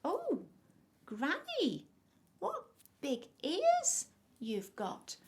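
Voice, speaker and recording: A woman's voice: very high voice, huge pitch swings, extremely slow, pitched above the performer's own voice, exaggerated voice. A woman voicing an innocent, trusting little girl in a children's storybook, earnest and wide-eyed. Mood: puzzled.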